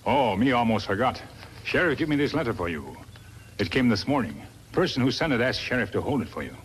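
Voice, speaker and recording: An actor playing a loyal, stoic western sidekick in a 1950s radio drama, speaking terse, emphatic broken English. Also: male voice, medium pitch, sing-song, brisk, close to an everyday voice. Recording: noisy vintage 1950s radio recording.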